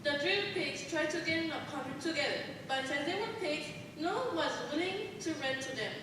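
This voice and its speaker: A woman's voice: high voice, moderate pace, close to an everyday voice. A young female student giving scripted fairy tale narration in a live school play. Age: young teen student. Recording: echoey hall from far off, noisy.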